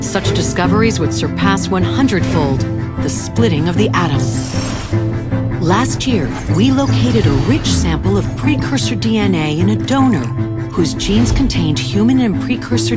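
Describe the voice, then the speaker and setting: Woman playing corporate visionary, mid-pitched, measured, polished, promotional narration, loud music. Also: lightly acted. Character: confident, visionary woman presenting a corporate project. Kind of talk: scripted corporate video narration in a game.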